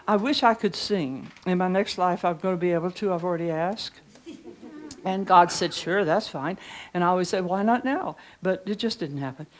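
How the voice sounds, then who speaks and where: Older woman with a deep voice preaching. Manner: chatty and warm, reads hymn lyrics slowly and softly. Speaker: pastor teaching preachers. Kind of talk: informal preaching workshop talk. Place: conference room with seated audience.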